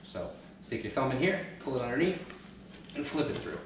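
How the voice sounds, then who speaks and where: A man's voice: mid-range voice, lively intonation, normal speed. Guy in his 30s explaining a build step by step, really echoey living room, some background noise. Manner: practical step-by-step, talks while kneeling and lifting. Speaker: DIY home channel host. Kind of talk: casual how-to instruction while working. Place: large carpeted family room at home. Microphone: camera mic from a distance.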